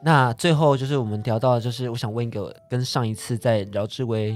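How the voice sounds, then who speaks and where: A man's voice: medium pitch, steady tone, fairly quick, faint music behind. A man with a Taiwanese Mandarin accent hosting a casual podcast chat, teasing and insistent, often repeating himself for emphasis. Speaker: podcast host.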